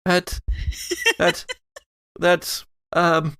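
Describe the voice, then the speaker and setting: Guy doing a shaken confused young woman, horror drama, halting, higher pitched, bit of creak. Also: completely flat delivery, pushed and bright, no echo, clean studio sound, full character voice. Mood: shaken, urgent. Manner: halting, stammering.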